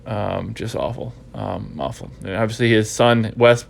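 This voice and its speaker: A man's voice: on the low side, steady tone, unhurried, gravelly. A stuffy, congested man hosting a casual podcast recap, rambling and repeating himself as he thinks. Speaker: podcast host.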